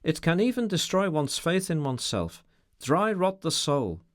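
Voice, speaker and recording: A man playing a brooding, sardonic gentleman, raised in pitch. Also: big pitch swings, dry recording, studio quality. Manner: measured, ironic, formal speech. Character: brooding, sardonic, educated middle-aged man.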